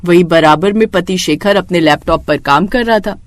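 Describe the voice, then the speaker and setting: A woman's voice: lowish voice, normal speed. A woman narrating a scripted moral story as its storyteller.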